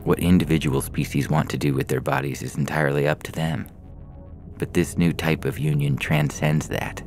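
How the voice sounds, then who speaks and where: A man's voice: extremely low pitch, fairly even intonation, normal speed, vocal fry, darkish tone, faint music behind. A man reading a science fiction story aloud as a scripted narration.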